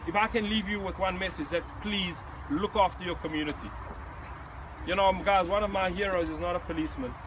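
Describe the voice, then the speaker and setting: A man's voice: sits high, fairly quick. Middle-aged South African man, earnest, emphatic motivational speaker; noisy outdoor recording. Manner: earnest, emphatic, acts out quoted shouting. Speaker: speaker addressing a visiting group. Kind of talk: motivational speech.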